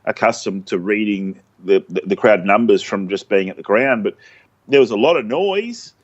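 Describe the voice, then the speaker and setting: Middle aged aussie bloke co-hosting, stumbles a lot, low voice, muffled lo-fi sound. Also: male voice, animated, breathy edge. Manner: halting, many restarts and stumbles. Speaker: podcast co-host. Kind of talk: casual sports podcast chat.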